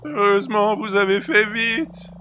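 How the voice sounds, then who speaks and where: An actor playing an excitable, long-winded factory director in an audio drama, with gushing, formal manners. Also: male voice, sits high, a little slow, dull audio, a little hiss or hum. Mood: polite, flustered. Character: effusive, rambling, excitable male factory director. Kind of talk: French audio drama acting.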